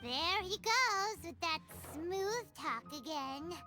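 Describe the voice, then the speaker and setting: A woman voicing a tiny, cheeky, excitable fairy companion in a video game, with a squeaky childlike voice. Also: slow, full character voice. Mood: cheeky, curious. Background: music.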